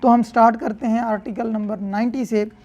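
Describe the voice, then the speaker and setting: High-pitched Pakistani woman giving a lecture. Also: steady tone, fairly quick, mellow, highs cut off. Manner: didactic, repeats and rephrases points. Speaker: law lecturer. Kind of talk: explanatory lecture, reading statute clauses aloud then explaining them.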